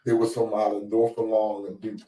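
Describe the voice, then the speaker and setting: Deep-voiced man telling stories. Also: monotone, fairly quick. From Baltimore. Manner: laid-back, rambling storyteller with shout-outs. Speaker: podcast host and DJ. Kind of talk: casual storytelling chat. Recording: roomy echo, distant mic.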